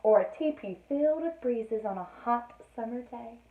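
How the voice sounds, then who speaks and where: A woman voicing an eager, gentle young girl who loves horses in a storybook, earnest and pleading. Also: on the high side, animated, moderate pace, recorded from a distance, natural voice. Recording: roomy, echoey, narrowband sound.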